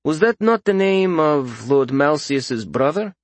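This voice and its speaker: A male narrator voicing Akris, a dutiful soldier giving a formal, brisk report. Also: on the high side, big pitch swings, no echo, voice pushed up.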